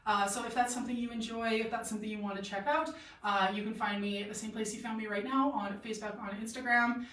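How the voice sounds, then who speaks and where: A woman's voice: a little high, steady tone, fast talker, fairly bright tone. A woman hosting a casual live try-on stream as a boutique model, chatty and enthusiastic with lots of fillers, her voice tiring. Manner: chatty, enthusiastic, many fillers, answers viewer comments. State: voice getting tired. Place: clothing boutique. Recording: echoey room, slightly distant mic.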